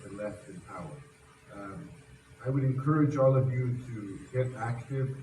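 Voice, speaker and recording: A man speaks hesitantly in a low voice, filling gaps with filler sounds. He is recorded from a distance in an echoing parliament hall with clear noise behind. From Missouri, USA. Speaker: politician. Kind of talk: recorded video message. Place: parliament building. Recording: echoey, distant, noisy.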